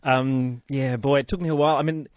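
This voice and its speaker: A man's voice: medium pitch, fairly even intonation, brisk, no echo, lo-fi sound. A man with an Australian accent reviewing films conversationally as a radio guest, thoughtful and a little hesitant. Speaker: film critic guest on radio. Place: radio studio. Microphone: close studio microphone.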